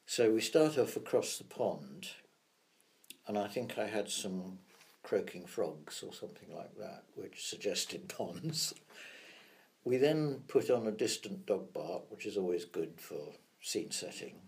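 An older man, a sound effects veteran, telling measured, reflective stories from his working years in an interview. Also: fairly low pitch, darkish tone. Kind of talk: anecdotal interview storytelling.

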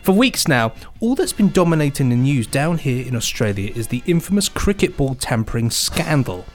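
British male podcast co-host, very animated delivery, playful and theatrical with listener mail, music underneath. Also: medium pitch, moderate pace. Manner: jokey, chuckling, theatrical when reading out. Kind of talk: casual banter, reading out listener emails.

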